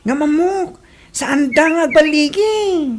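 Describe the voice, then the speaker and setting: Woman playing loyal aide, high-pitched, Ilocano accent, earnest, questioning, radio comedy drama, slightly noisy. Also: expressive, slow.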